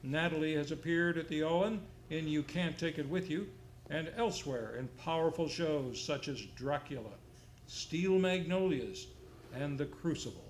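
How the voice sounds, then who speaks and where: A man with a slightly high voice announces an old-time radio show as its host. His delivery is theatrical and playfully comic, read from a script. The live stage recording carries clear background noise. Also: moderate pace.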